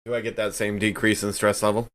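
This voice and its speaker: A man's voice: lowish voice, little pitch movement, fast. A man talking casually as a player in a tabletop role-playing game.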